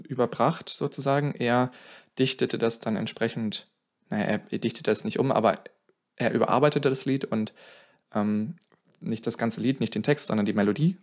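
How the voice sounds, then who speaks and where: A man telling a song's history in casual podcast talk, often correcting himself mid-sentence. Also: mid-range voice. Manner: explains at length, self-correcting, thinking aloud. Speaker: podcast co-host.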